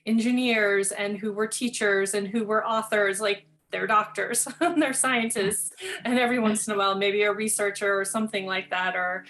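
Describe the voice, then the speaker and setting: A woman's voice: mid-range voice, fairly even intonation, normal speed, few pauses, a bit bright. A woman hosting a conversational interview podcast, speaking in an enthusiastic, effusive manner. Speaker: podcast host.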